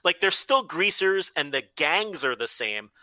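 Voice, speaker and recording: Male comedy podcast co-host: sharp, slightly high voice, swears freely, thinks aloud in casual rambles, close dry sound. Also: sing-song, unhurried, dry recording. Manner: rambling, joking, profane. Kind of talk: casual comedic movie chat.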